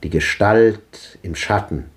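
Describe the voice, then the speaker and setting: An actor playing an old man who delivers a measured, lecturing philosophical monologue in a 1950s radio drama. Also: fairly low pitch. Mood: musing.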